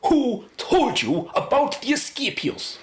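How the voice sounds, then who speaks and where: A woman's voice: sits high, sing-song, takes it slowly, heavily acted. A woman playing a proud, principled male research scientist in a radio drama, with formal, emphatic diction. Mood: indignant, defiant. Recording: roomy echo, faint noise.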